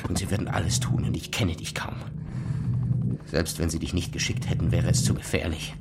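An actor playing an obsessed, paranoid physicist in a radio drama, speaking in an intense, halting, urgent manner. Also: male voice, deep voice, little pitch movement, creaky, very noisy. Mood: suspicious, menacing. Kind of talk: German radio drama acting. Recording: music under the voice. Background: music.

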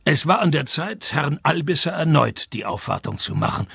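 Sardonic private detective, radio play lead: dry wit, fairly high gravelly voice. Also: male voice, normal speed, no echo, full character voice. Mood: wry narrating. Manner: deadpan, sarcastic. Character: dry, sarcastic, world-weary male private detective narrating his case. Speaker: lead character and narrator. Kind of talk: German-language radio comedy crime play narration.

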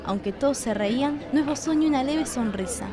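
A woman's voice: high-pitched, animated, fairly quick, over music, crowd noise. A woman with an Argentine accent reading scripted narration for a radio drama.

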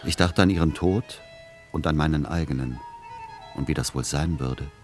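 An actor playing a middle-aged, exasperated literary aesthete son who also narrates a radio play in measured words. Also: male voice, extremely low pitch, faint music behind. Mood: melancholic, reflective.

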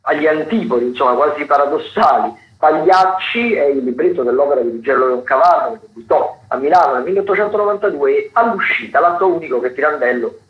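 A man's voice: fairly high pitch, animated, fast talker, hardly any pauses. A male radio host from Rome presenting the week's theatre listings. He hesitates a lot, with many fillers and restarts. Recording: dull lo-fi radio broadcast.

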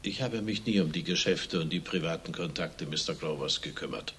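A man's voice: low voice, brisk, a bit bright, a little hiss or hum. An actor playing a long-serving, discreet butler in a radio crime drama, formal, deferential and precise in his speech. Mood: composed, formal.

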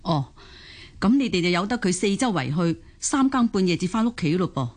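Actress as a caring low-pitched Cantonese grandmother. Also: big pitch swings, a little fast. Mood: concerned, probing. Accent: Hong Kong Cantonese. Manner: sighing, gently chiding, reassuring. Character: caring, understanding elderly mother-in-law and grandmother. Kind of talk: Cantonese radio drama acting.

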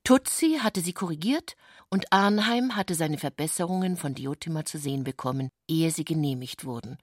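A woman performing as the measured, composed narrator of a satirical literary radio drama. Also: deep voice, animated, darkish tone, dry recording, clean studio sound.

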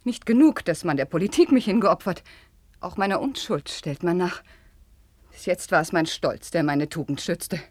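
An ardent young princess at a royal court in a vintage radio play. Her voice is lowish and husky, recorded dry and close. She delivers classical slowly and theatrically, moving between hushed confiding and fervent declamation. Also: animated, dry recording. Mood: impassioned confession. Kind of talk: 1950s German radio play, classical verse acting.

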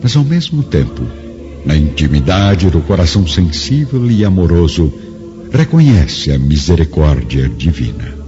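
A man's voice: deep voice, very animated, measured pace. A man narrating a radio drama in a solemn, literary, scripted style. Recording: muffled radio sound over clear music.

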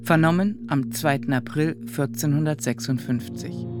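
A formal court clerk voiced by a performer announces witnesses in a radio drama. The delivery is deliberate and official, reading out records with music clearly behind. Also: mid-range voice, natural voice.

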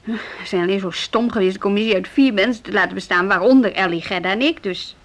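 A woman's voice: medium pitch, fast talker, lightly acted. A woman playing an ambitious but weary music school director in a naturalistic radio drama, reasoning in a hesitant, conversational way. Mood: confiding, hesitant. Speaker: actor in a radio play.

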